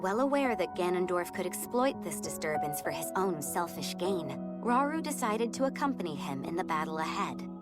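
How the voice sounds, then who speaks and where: An actress playing a solemn storyteller, giving measured, grave narration of a fantasy game legend. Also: female voice, fairly low pitch, big pitch swings. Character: solemn female storyteller of an ancient kingdom's legend. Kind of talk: scripted narration. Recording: loud music behind narration.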